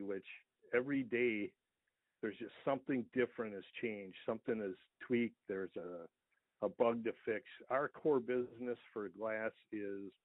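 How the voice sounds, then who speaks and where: An American man, a small business owner, earnestly explaining things at length in a conversational interview over the telephone. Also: on the low side. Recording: thin, echoey phone line.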